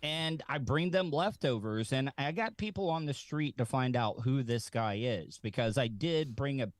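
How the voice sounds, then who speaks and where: A man telling casual comic anecdotes as a talk show guest, in a halting, rambling way. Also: mid-range voice, expressive, no room sound.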